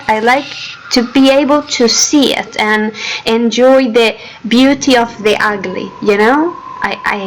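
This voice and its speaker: A woman's voice: mid-range voice, a little hiss or hum, poor audio. A young woman from Chile with a Chilean accent, a native Spanish speaker hosting a casual livestream as a game developer and talking hesitantly with many fillers and giggles.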